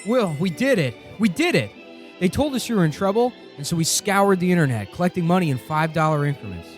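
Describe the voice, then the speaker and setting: Man acting a fast-talking comic radio huckster. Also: high voice, sing-song. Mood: earnest, gushing. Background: loud music.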